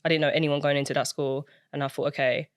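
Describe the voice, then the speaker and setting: Soft-spoken young woman telling stories. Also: low voice, little pitch movement, quick pace, no room sound, clean studio sound. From Britain. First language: Cantonese. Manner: soft-spoken, reflective storytelling with many fillers. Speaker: guest. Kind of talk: casual podcast chat.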